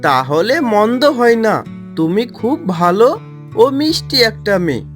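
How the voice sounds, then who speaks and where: A man voicing a kindly young village cowherd in a cartoon story, expressive and exclamatory in his delivery. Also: high voice, unhurried, soft background music, heavily acted. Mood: friendly. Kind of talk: dramatized cartoon story dialogue.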